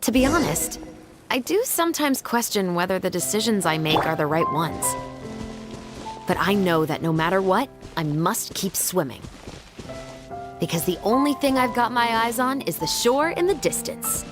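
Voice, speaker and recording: A woman playing a resolute, composed young patrol officer in a video game, speaking in an earnest, measured, formal way. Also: mid-range voice, expressive, close to an everyday voice. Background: background music.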